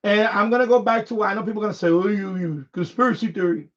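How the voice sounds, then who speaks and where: Male streamer, high voice, unscripted and repetitive halting delivery, slightly muffled low-fidelity audio. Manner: halting, repeats words and phrases often. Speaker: live stream host. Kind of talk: casual unscripted live-stream chat. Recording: dull, lo-fi microphone sound.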